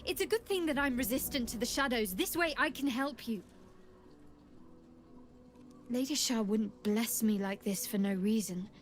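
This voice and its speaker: An actress playing a young, devout and guarded female cleric in a video game, with a measured, hesitant delivery. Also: on the high side, natural voice. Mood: earnest. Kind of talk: video game dramatic acting.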